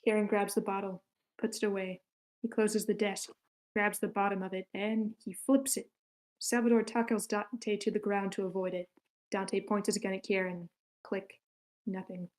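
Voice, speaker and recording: A woman's voice: medium pitch, level tone, normal speed, a little mellow, poor audio. A woman narrating the stage directions of a screenplay table read in short, matter-of-fact sentences.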